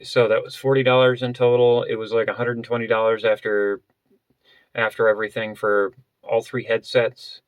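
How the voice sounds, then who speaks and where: A man vlogger explaining gear in a casual, unscripted way, halting and often rephrasing as he goes. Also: mid-range voice, little pitch movement, clear, resonant tone, no echo, low-quality recording. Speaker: vlogger presenting a budget gear setup.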